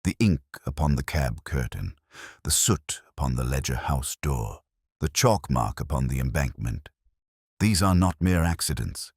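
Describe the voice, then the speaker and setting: A man voicing a shrewd, calmly relentless detective in an audiobook mystery, speaking in formal, measured, incisive phrases. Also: rumbling low, husky, dry recording, clean studio sound, full character voice. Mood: stern.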